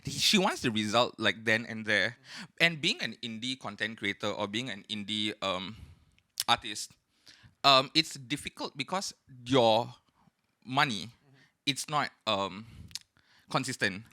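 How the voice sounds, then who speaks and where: Podcast co-host: young Singaporean man, animated intonation, emphatic and candid, very dry recording. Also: medium pitch, measured pace, no echo. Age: young adult. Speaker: podcast co-host, indie content creator. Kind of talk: casual podcast chat.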